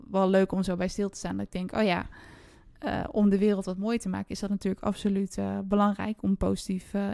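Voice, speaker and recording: A woman co-hosts a book podcast in a casual, conversational way. Her medium-pitched voice has noticeable vocal fry. The sound is dry, with no room around it. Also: warm tone, no room sound. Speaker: podcast co-host.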